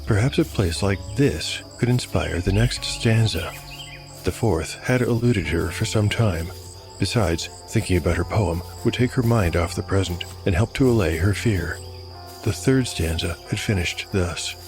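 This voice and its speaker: A man's voice: deep voice, a little slow, music in the background. A man hosting an audio drama podcast, speaking in a scripted storytelling style. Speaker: host, narrator and game master of an audio drama podcast. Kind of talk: scripted fantasy storytelling narration.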